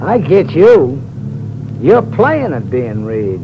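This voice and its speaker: A man's voice: mid-range voice, big pitch swings, slow. An actor playing a sly, cocky little seaman turned blackmailer in a 1940s radio mystery, smug and insinuating. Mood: smug, threatening. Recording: muffled, noisy old radio recording.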